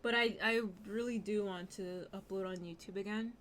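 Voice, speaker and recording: A young woman streaming, chatting casually in a scratchy, slightly hoarse voice with lots of fillers. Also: mid-range voice, fairly even intonation, moderate pace, fairly bright tone. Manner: rambling, many fillers. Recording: music playing underneath.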